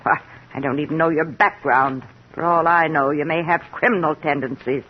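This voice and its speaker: A woman's voice: low voice, fairly even intonation, moderate pace, close to an everyday voice. An actress playing a stern, distrustful older mother-in-law in an imperious, accusing 1950s radio drama style. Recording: muffled, hissy vintage radio recording.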